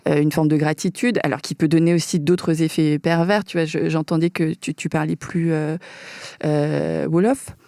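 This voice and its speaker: A woman speaking as a guest in a casual conversation, thinking aloud and often pausing with fillers as she searches for words. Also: deep voice, fairly even intonation, gravelly, dark tone, no room sound, studio quality. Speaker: guest filmmaker.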